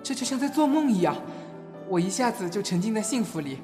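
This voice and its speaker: A shy, lovestruck young man, played by a male actor in a romantic audio drama. He speaks softly and hesitantly, with earnest insecurity, over background music. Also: high-pitched, sing-song, brisk. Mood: happy, dreamy. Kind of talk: Chinese audio drama acting with inner narration. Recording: music behind.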